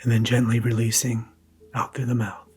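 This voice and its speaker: A man guiding a scripted meditation in a soft, hushed, breathy manner, leaving long pauses between phrases. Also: medium pitch, completely flat delivery, a little hiss or hum. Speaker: meditation guide.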